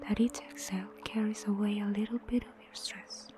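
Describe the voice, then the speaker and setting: A woman guiding a meditation in a soft, breathy near-whisper, gentle and soothing, unhurried with long pauses. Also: mid-range voice, steady tone. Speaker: meditation guide. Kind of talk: guided meditation narration. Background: prominent music.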